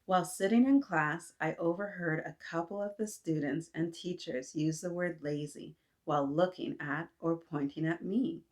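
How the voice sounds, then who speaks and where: A woman, reading a children's book aloud and gently pausing to talk with young listeners. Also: sits low. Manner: gentle, asks kids to reflect, frequent "right?". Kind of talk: read-aloud storytelling for kids. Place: indoors, small room with painted mural.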